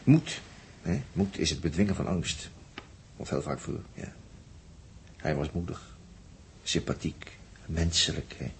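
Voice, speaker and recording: A man's voice: very deep voice, a little slow, creaky, long pauses, full character voice. A male actor playing a reflective spaceship crewman in a 1960s radio drama, musing and searching for words with questioning tags. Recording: noisy vintage 1960s radio recording.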